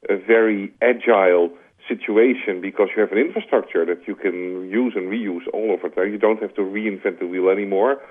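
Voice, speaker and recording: A man with a Dutch accent answering interview questions, explaining his points conversationally with analogies and "like" fillers. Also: lowish voice. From Netherlands. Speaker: interview guest, corporate strategy executive. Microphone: telephone. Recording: tinny phone line.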